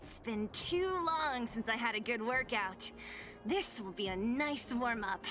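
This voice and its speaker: Confident young woman fighter for a video game: slightly high voice, animated delivery, cool and tough, music underneath. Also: measured pace. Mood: eager, confident. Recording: music behind the voice.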